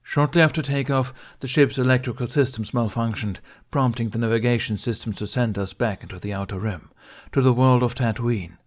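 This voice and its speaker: A man voicing a calm, commanding male Jedi master from a science fiction story, speaking in a formal, measured way. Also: mid-range voice, voice pushed up, lightly acted. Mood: grave, formal. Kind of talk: acted audiobook dialogue in a science fiction story.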